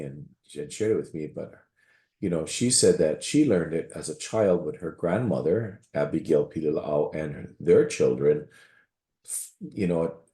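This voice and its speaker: A man's voice: deep voice, dark tone, echoey room. A man from Waianae, a pastor giving a rambling public comment with many restarts at a meeting. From Waianae, Hawaii.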